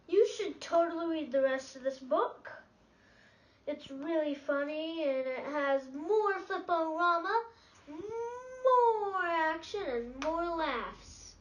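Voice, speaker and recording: A child reading a children's book aloud expressively, with halting delivery and stumbles over words. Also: very high voice, muffled recording.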